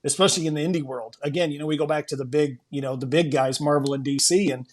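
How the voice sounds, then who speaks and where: A man's voice: fairly high pitch, steady tone, fast talker. A man co-hosting an interview show in casual chat, rambling and circling around his point before landing it. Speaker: interviewer, podcast co-host.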